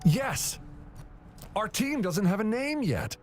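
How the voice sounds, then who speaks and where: A boyish young racer from a video game. His high voice swings about in pitch, eager and bubbly and quick to get flustered, with music faintly underneath. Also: sing-song, exaggerated voice. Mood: keen. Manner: bubbly, enthusiastic, easily flustered.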